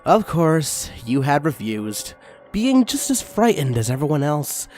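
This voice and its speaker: A man reading a fanfiction story aloud as channel host, excitable and crude in his asides. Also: a little high, measured pace, soft background music. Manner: excitable and crude in the intro, then steady reading.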